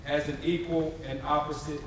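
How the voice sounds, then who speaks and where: A man performing as an impassioned history narrator in a staged reading, with emphatic, deliberate phrasing. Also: fairly high pitch, level tone, crowd noise, close to an everyday voice. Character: impassioned male narrator of civil rights history. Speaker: stage performer in readers theatre. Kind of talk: dramatic staged reading, oratory. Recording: echoey hall, distant mic, audience responses.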